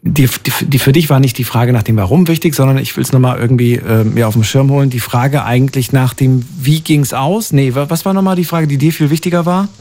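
A man's voice: mid-range voice, brisk, hardly any pauses, a little mellow. A man hosting an evening radio phone-in from the studio, chatting with callers in a friendly, opinionated way. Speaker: radio call-in host. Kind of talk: late-night radio phone-in talk.

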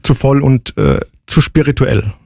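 A man's voice: mid-range voice, unhurried, creaky, mellow, no room sound, clean studio sound. A man in his forties answering interview questions casually, in a concise and matter-of-fact way. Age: 40s. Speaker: interview guest, long-distance hiker.

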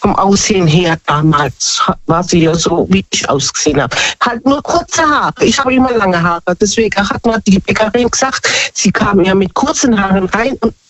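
A woman's voice: mid-range voice, normal speed, no echo, muffled recording. A woman in her seventies calling in to a radio show, chatting casually about her own life. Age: 70s. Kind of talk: casual chat, personal anecdote.

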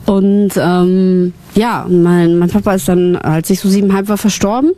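A woman's voice: medium pitch, fairly even intonation, normal speed, mellow. A woman born in Ukraine, a singer and songwriter, talking openly as an interview guest with lots of fillers. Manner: chatty, many fillers, open and personal. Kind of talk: casual personal interview.

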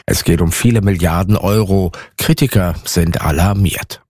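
A man reading the radio news in a formal, measured, even manner from a studio. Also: deep voice, husky, no echo. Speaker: newsreader.

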